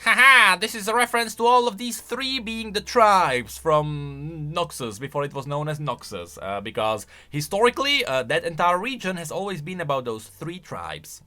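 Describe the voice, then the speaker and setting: A man reacts casually to video game lore, thinking out loud. His voice is bright and a little high, with animated swings in pitch. He talks in an expressive, conversational way. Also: normal speed, few pauses, soft background music. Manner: expressive, conversational, musing aloud.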